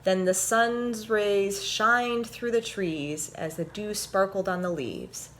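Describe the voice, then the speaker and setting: A woman hosting a story time for children, reading and explaining things simply with expressive, deliberate phrasing. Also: medium pitch, a little hiss or hum. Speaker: host and story reader, orchestra violinist.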